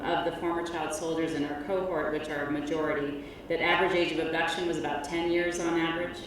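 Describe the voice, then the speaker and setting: A middle-aged woman giving a keynote lecture at a conference, loud and measured, with frequent ums. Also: low-pitched. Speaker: keynote speaker, researcher. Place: large conference hall. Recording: echoey hall from far off, noisy.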